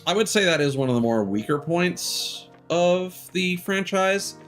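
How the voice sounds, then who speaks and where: Game streamer with a very lively pitch. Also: unhurried. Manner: rambling, musing aloud. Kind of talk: casual gameplay commentary. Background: video game music.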